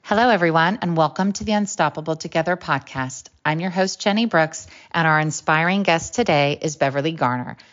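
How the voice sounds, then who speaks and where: A middle-aged woman with a fairly low voice hosts a podcast interview. She asks her questions in a measured, thoughtful way. The audio is slightly dull and lo-fi. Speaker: podcast host. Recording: muffled lo-fi audio.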